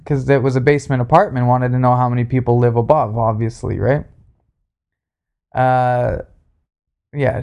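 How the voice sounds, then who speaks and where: A man with a creaky, fry-heavy voice and an average pitch hosts a podcast. He tells anecdotes in a casual, halting manner with a sarcastic streak. He speaks closely into a handheld recorder. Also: darkish tone. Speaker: podcast host. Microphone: handheld recorder, spoken into closely.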